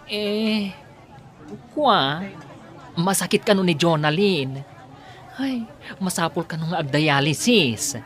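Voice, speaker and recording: A woman's voice: lowish voice, animated, slow pace, pauses often, a faint crowd. A woman with an Ilocano accent playing a flustered, hesitant, exclamatory woman in an audio drama.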